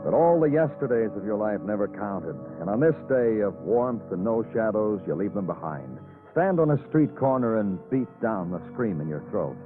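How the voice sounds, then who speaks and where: A weary noir detective from 1950s radio crime drama. His low voice moves through wide swings of emphasis, somber and poetic, with music behind a thin, narrow old broadcast sound. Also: very animated, moderate pace. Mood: brooding. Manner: terse, somber, dramatic phrasing. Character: weary, brooding male police detective narrating his case.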